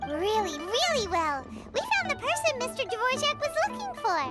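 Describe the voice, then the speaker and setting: Tiny game fairy, extremely high squeaky voice, wildly animated, bubbly, childlike. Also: female voice, moderate pace, over loud music. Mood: excited. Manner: bubbly, emphatic, refers to self in third person. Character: tiny excitable childlike fairy companion, cheerful, talkative. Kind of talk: video game voice acting.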